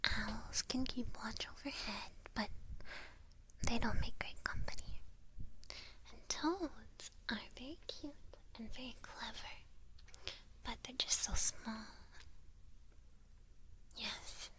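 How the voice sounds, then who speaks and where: A woman acts out a doting witch in an ASMR roleplay. She whispers very slowly and softly, in a fairly high voice. Her manner is gently teasing, as if fussing over a pet familiar. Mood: fond, musing. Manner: slow, soft, gently teasing.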